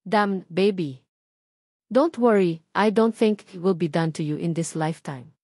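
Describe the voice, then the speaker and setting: A woman's voice: fairly low pitch, a little slow, dark tone, no room sound, studio quality. Possessive young boyfriend, read by a female narrator: confident, playful, teasing drawl, romance audiobook. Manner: bored, playful drawl mixing English and Tagalog.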